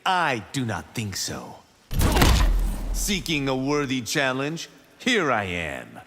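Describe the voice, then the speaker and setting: An actor playing a cocky, proud young martial-arts warrior in a video game. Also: male voice, medium pitch, huge pitch swings, slow pace, pushed and bright, exaggerated voice. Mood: cocky, scornful. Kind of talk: video game fight-intro dialogue. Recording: reverberant hall, faint noise.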